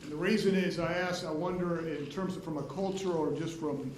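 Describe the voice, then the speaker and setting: A man's voice: a little high, steady tone, a little fast. A man whose first language is Spanish, a prosecutor questioning a witness in a loose, rambling, self-deprecating way. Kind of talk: courtroom redirect examination. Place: courtroom. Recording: echoey courtroom, faint hiss.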